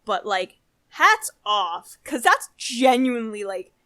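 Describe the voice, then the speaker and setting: Higher-voiced young woman, casual and amused. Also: sing-song, slow pace, sharp tone, no echo, studio quality. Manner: amused, informal, slangy storytelling. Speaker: podcast co-host. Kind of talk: casual chat.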